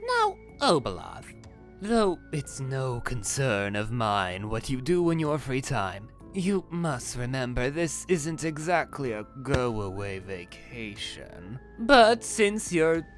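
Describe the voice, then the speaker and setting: A man playing a sly, taunting demon messenger in an animated roleplay, with a teasing, sarcastic drawl. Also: mid-range voice, slow pace, soft background music. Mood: mocking. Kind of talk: Minecraft roleplay voice acting.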